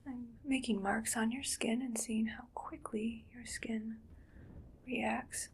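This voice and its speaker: A woman playing a musing, matter-of-fact mad scientist in an ASMR medical roleplay, murmuring in a breathy whisper with creaky edges. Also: a little high, level tone, full character voice. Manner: unhurried, murmuring to self, clinically detached. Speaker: ASMR performer.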